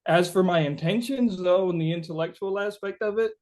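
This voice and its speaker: A man being interviewed as a musician, answering casually and haltingly, thinking aloud and often saying "like". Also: high-pitched, darkish tone.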